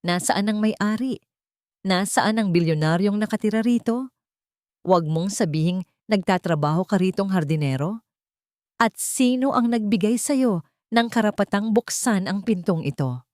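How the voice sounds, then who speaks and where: A woman's voice: mid-range voice, expressive, moderate pace, a little mellow, dry recording, clean studio sound, voice pushed up, natural voice. A woman voicing a haughty, pretentious wealthy mother-in-law in a storybook, affected and commanding. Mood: indignant, shocked. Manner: affected, commanding, self-important.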